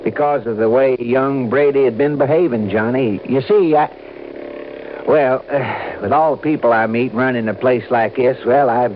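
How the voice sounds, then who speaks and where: An actor playing an obliging, talkative fishing resort manager in an old American radio drama, explaining things in a folksy, hesitant way. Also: male voice, mid-range voice, moderate pace, close to an everyday voice. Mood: confiding. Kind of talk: mid-century American radio drama acting. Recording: muffled, faintly noisy vintage radio recording.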